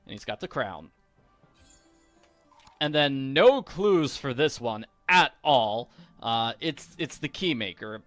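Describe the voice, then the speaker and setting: A man's voice: medium pitch, lively intonation, slow, fairly bright tone, no echo, faint music behind. A man hosting a video game walkthrough with casual, chatty commentary, recorded close on a mic. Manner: chatty, acts out quoted lines.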